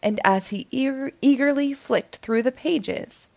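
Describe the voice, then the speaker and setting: Woman reading a kids story, slow and lively, highish voice with some creak. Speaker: children's book reader. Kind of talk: animated storybook narration.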